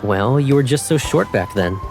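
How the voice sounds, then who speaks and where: A man's voice: mid-range voice, expressive, normal speed, close to an everyday voice. A man playing a teasing, affectionate young man, a protective childhood friend, in a fan dub of a romance game. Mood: teasing, nostalgic. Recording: faint music behind.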